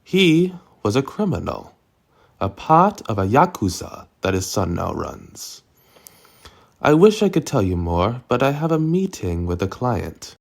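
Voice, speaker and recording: A man's voice: fairly low pitch, big pitch swings, measured pace, gravelly, exaggerated voice. A man playing a grave businessman and father under threat, in an audio drama. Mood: grave, troubled.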